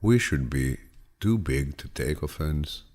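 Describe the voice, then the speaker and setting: Male audiobook narrator, extremely low and husky, soothing grandfatherly delivery, measured but with expressive swings in pitch. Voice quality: deep, low, gravelly, husky voice. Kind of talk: calm, measured audiobook reading of quotations.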